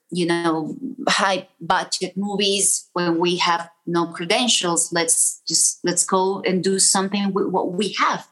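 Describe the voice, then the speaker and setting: Woman, from Venezuela, low voice, animated storyteller. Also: poor audio. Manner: animated storyteller, acts out quoted people. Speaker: film producer, guest. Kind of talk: casual interview storytelling.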